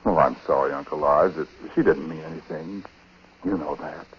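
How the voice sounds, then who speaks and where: Troubled husband and dutiful nephew, very low voice, halting confessional delivery, vintage radio drama with muffled, hissy sound. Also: male voice, breathy edge, heavily acted. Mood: uneasy, gentle. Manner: halting, conversational. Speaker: lead actor and narrator. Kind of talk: 1940s radio acting, first-person confessional narration. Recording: noisy, muffled 1940s radio recording.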